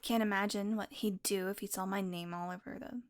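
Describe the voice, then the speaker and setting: A woman's voice: mid-range voice, fairly even intonation, fairly quick, natural voice. A woman playing a sardonic, flirtatious female vampire in an intimate audio roleplay, with a sarcastic, playful, casually profane way of talking. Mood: wry, wounded.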